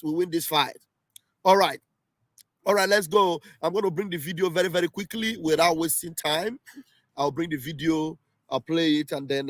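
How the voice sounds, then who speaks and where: A man's voice: fairly high pitch, no room sound. A man hosting a casual livestream, emphatic and repeating himself often. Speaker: livestream host. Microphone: home livestream setup.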